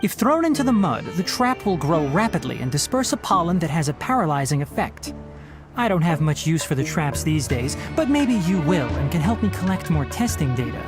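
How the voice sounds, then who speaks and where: An actor playing a young male forest scholar in a video game, an articulate, caring mentor with a dry, gently chiding manner. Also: on the high side, very animated, brisk. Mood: matter-of-fact. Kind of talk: scripted video game character dialogue. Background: music.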